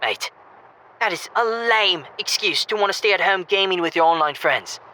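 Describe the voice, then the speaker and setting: Man playing a sharp, boisterous young lad. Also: high voice, lively intonation, normal speed, slight background noise. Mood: brash, persuasive. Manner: brash, teasing, pushy. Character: cocky, boisterous young British male student wingman. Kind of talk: audio drama acting.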